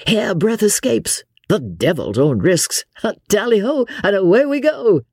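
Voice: mid-range voice, very animated, measured pace, vocal fry, darkish tone, slightly rough, no room sound, studio quality, voice pushed up, full character voice. An audiobook reader voicing a jovial young English lord, a carefree sporting adventurer with a hearty manner.

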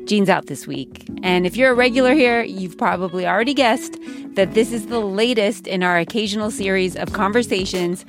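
A woman hosting a podcast, narrating in a clear, measured, conversational way. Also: medium pitch, over music. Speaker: podcast host. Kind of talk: scripted narration and interviewing.

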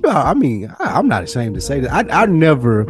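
A man's voice: medium pitch, wildly swooping pitch, fairly quick, faint music behind. An American man hosting a podcast in casual, informal conversation. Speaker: podcast host. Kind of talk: casual chat.

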